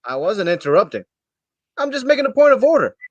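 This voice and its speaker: Woman playing a blunt small-town man, lively pitch. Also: fast, no echo, highs cut off. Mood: gruff, impatient. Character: blunt, folksy small-town committee man Jim, contrary. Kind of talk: amateur staged play reading.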